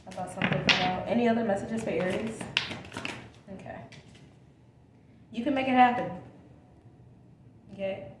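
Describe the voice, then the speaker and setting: A woman's voice: mid-range voice, normal speed, pauses often, echoey room. A woman giving a casual tarot reading to her viewers, repeating reassurances and checking in with "okay?", her voice a little scratchy from a coming cold. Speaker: tarot reader.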